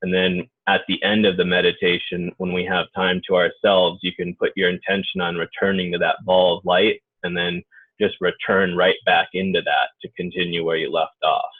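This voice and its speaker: Low, monotone man on a phone-like line. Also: breathy edge. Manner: informal, halting phrasing. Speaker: guided meditation leader and healer. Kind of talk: unscripted guided group meditation. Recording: muffled phone-like narrow-band audio.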